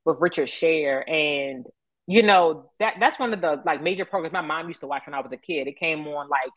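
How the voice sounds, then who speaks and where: A woman in her thirties with an American accent and a low-pitched voice. She speaks as an interview guest in a conversational storytelling style. The sound is close and dry. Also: brisk, dry recording. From Baltimore, United States. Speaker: interview guest, media professional.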